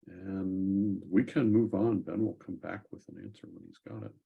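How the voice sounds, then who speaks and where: Man, bottomed-out pitch, husky and rough, casual explainer, hosting an online tech discussion. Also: moderate pace, phone-quality sound. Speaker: host of a software community call. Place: online group call.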